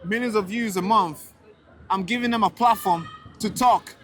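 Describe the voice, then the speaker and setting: A man's voice: high-pitched, moderate pace, fairly bright tone, soft background music. A young man from Tanzania, a street interviewer and show host, talking indignantly outdoors and repeating words when he gets heated. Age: young adult. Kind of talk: casual street talk and direct-to-camera rant. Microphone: handheld camera mic.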